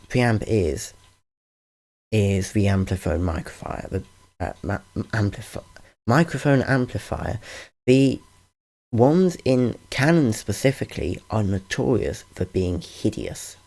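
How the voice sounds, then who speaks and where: A deep-voiced man talks slowly through a casual tutorial, his tone dark and lively in pitch. He often stops to correct himself with dry humor, recorded close on a studio condenser microphone. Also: creaky. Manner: rambling, self-correcting, wry. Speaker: channel host explaining audio gear. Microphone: large-diaphragm studio condenser.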